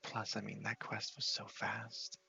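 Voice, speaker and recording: A man's voice: lowish voice, monotone, a little slow, creaky, sharp tone, husky, lo-fi sound, faint music behind. A man giving casual ASMR gameplay commentary, often whispering as he thinks aloud. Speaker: game streamer.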